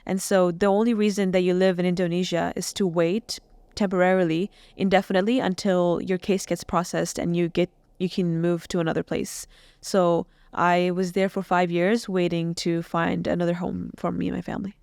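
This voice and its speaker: Young woman guest from Iran, smooth clear voice, dry studio sound, earnest and reflective delivery. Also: on the low side, level tone, normal speed, darkish tone, no echo. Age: young adult. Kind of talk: podcast interview. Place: small podcast studio. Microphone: boom mic on stand.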